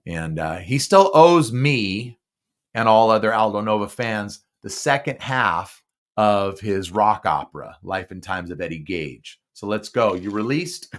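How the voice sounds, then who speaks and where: A man's voice: fairly low pitch, expressive, unhurried. A man hosting a casual podcast, chatty and enthusiastic as a music fan. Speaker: podcast host.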